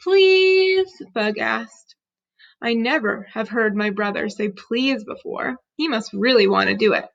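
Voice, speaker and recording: A woman reading a children's book aloud as an expressive storyteller. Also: mid-range voice, a little slow, creaky. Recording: dull lo-fi recording.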